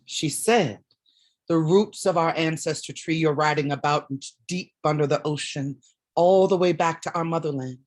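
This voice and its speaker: A woman playing a solemn storyteller in a staged reading of a play, with measured, declamatory delivery. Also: low-pitched. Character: solemn storyteller passing on ancestral wisdom, then urgent.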